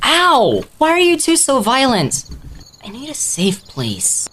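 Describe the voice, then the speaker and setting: A man's voice: on the high side, very animated, moderate pace, slight background noise. An actor playing a whiny, wisecracking young male urban explorer with a sarcastic, complaining manner in an audio drama. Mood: whiny protest.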